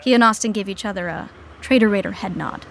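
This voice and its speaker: A woman's voice: medium pitch, fast, creaky, a bit bright, slight background noise. A woman narrating a scripted podcast sitcom in a dry, matter-of-fact way, setting the scenes.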